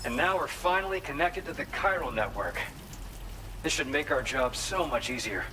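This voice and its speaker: A man's voice: a little high, expressive, normal speed, close to an everyday voice. An actor playing an earnest, grateful male researcher who talks conversationally in a video game. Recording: noisy, rain pattering behind voice.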